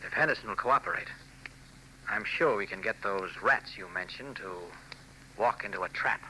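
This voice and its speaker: A calm, assured radio-play reporter hero. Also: male voice, on the low side, moderate pace, poor audio. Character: confident male newspaper reporter hero, calm and steady. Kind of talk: 1940s radio acting. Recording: old radio broadcast with faint noise.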